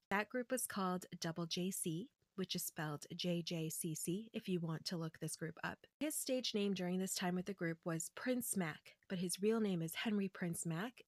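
Chatty woman host, low voice, dry sound. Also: no echo. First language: English. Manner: chatty, with asides and light laughs. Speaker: podcast host. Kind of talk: scripted solo commentary.

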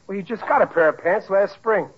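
Husky, blustering working-class family man. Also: sits high, brisk. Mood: grumbling. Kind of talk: 1940s radio sitcom acting. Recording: dull vintage radio recording.

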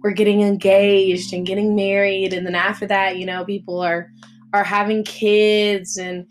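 A woman, co-hosting a faith podcast in casual chat, earnest and halting with many restarts. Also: mid-range voice, level tone, slight background noise. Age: 20s. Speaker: podcast co-host.

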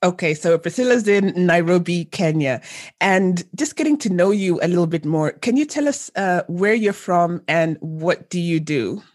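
A woman's voice: fairly low pitch, lively intonation, moderate pace. A woman from Zambia hosting a podcast, chatty and enthusiastic, with many fillers as she asks questions. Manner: warm, enthusiastic, many fillers like "you know". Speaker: podcast host. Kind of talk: casual interview chat.

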